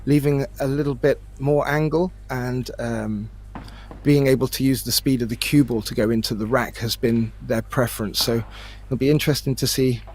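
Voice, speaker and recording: A man giving expert pool analysis as co-commentator, thoughtful and a bit halting. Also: medium pitch, fairly even intonation, darkish tone, slight background noise. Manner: analytical, hesitant with fillers, laughs easily. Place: remote booth.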